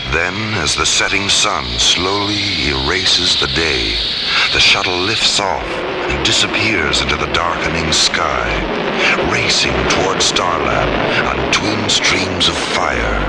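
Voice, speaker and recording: An actor narrating a science fiction radio serial in a deliberate, dramatic style. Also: male voice, low voice, low-quality recording. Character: solemn radio serial narrator describing space flights. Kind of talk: scripted old-time radio drama narration.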